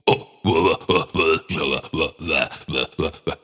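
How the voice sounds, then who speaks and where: A man's voice: a bass voice, measured pace, pushed and bright, breathy edge, full character voice. A man voicing an eerie, lonely monster in a children's story, blustering, stuttering and sulky. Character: eerie, smelly, lonely male monster guarding a chest. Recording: long reverb.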